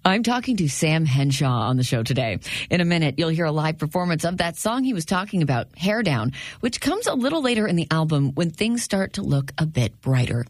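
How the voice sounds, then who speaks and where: Low-voiced woman hosting a radio show. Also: big pitch swings, a little fast, few pauses, dry recording, clean studio sound. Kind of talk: scripted show link.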